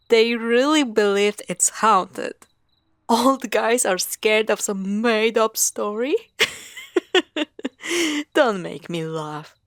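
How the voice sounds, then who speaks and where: A woman's voice: a little high, lively intonation, no room sound, clean studio sound, heavily acted. A woman playing a skeptical, teasing young girlfriend in an audio roleplay, chatting casually with friends. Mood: amused, skeptical. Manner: casual, teasing, hesitant pauses. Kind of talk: audio roleplay acting, conversational.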